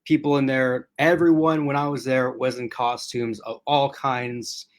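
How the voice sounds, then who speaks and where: A man's voice: medium pitch, level tone, unhurried. Chatty man losing his voice. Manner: chatty and rambling, addressing chat commenters. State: losing his voice, clearing throat. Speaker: host. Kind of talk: casual livestream chat.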